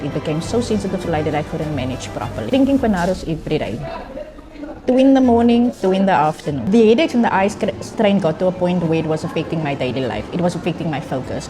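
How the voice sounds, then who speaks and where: Casting: woman, forty, low voice, clear and methodical testimonial over music. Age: 40s. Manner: self-deprecating, methodical. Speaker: banker giving a testimonial. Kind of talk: testimonial interview.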